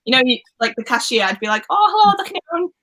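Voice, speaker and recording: A young Welsh woman with a bright, high-ish voice chats casually over a video call. She laughs often and trails through fillers as she talks. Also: very animated, fast. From Wales. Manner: chatty, giggly, lots of fillers. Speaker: booktube host. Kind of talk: casual chat on a live stream.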